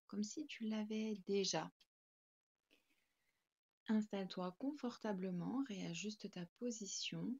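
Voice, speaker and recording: A woman guiding a yoga nidra meditation in a calm, gentle, soothing manner with spaced instructions. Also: mid-range voice, vocal fry, husky, highs cut off.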